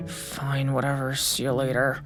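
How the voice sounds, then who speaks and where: A performer voicing a sullen, sarcastic, put-upon schoolboy who grumbles defensively in a family audio drama. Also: female voice, very deep voice, monotone, a little slow, faint music behind. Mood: grumbling. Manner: sulky, grumbling, sighing.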